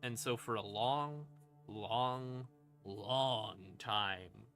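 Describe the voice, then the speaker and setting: A man hosting a stream and explaining game lore in a casual monologue, with theatrical, deliberate pauses between short phrases. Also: mid-range voice, a bit bright. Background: ambient music in the background.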